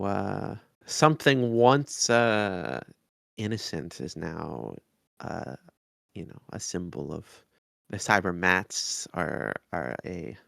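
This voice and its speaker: A man with a creaky, fairly low voice talks casually as a podcast co-host. He goes haltingly, in short phrases, and the recording is dry. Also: a bit bright, no room sound. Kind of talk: casual review chat.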